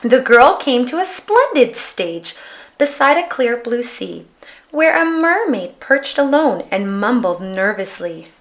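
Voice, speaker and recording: A woman reading a children's picture book aloud with expressive narration. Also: fairly high pitch, slow. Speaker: storybook reader.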